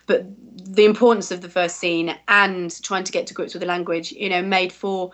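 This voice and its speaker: A woman's voice: fairly low pitch, little pitch movement, normal speed, a bit bright. An English woman, a voice actress, chatting casually in an interview and rambling through fillers and restarts. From England.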